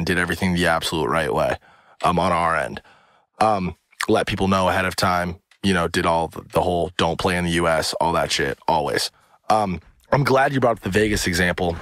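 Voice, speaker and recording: A man's voice: low-pitched, a bit bright, no room sound, clean studio sound. A man hosting a podcast debate, blunt and profane, pressing his point. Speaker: podcast host. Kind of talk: heated debate.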